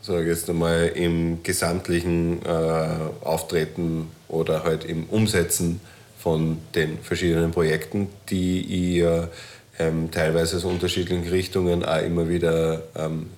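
A man with a low-pitched voice. He hosts an interview in a casual style. His sentences take the long way round, with hesitations and frequent pauses. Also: monotone, a little mellow. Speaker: host, interviewer.

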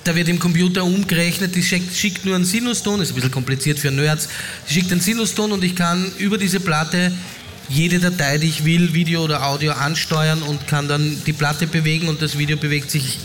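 A man's voice: on the high side, brisk, a bit bright, slight background noise. A man as a talk show guest, answering casually and explaining his work in a loose, friendly way. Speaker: guest, DJ and actor.

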